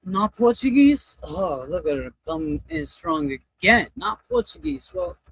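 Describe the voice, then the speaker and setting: A man hosting a casual livestream, talking in a rambling, halting way and often breaking off mid-thought. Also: high-pitched, huge pitch swings, gravelly, fairly bright tone, breathy edge, no room sound, rough recording. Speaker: livestream host.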